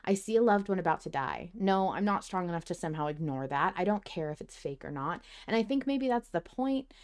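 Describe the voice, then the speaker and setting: A woman hosting a casual fan podcast discussion, talking in an earnest, expressive way. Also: fairly low pitch, fairly quick. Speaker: podcast host.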